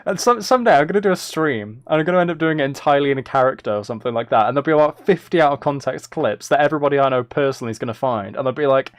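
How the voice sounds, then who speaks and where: A man's voice: medium pitch, rapid-fire, few pauses, clean tone, no echo. A man chatting casually on a livestream, thinking aloud in a self-deprecating way as he makes music. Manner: self-deprecating, hums and sings out rhythms. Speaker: live streamer making music.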